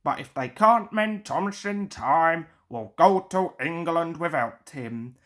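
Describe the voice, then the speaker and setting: A woman's voice: low-pitched, animated, takes it slowly. A woman voicing a stern, pompous male railway boss giving orders in a storybook. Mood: commanding. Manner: stern, pompous, bellowing at times. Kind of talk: storybook character voice.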